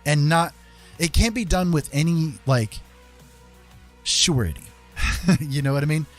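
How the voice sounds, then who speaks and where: A man's voice: on the high side, a little slow, soft background music. A man hosting a casual livestream, talking in an emphatic, opinionated, conversational way and often checking in with the listener. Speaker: livestream host.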